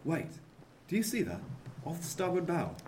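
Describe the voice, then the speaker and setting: A man playing a steady, watchful sailor in a radio drama, with measured, commanding nautical speech. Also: a little high, slight background noise. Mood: alert. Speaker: actor.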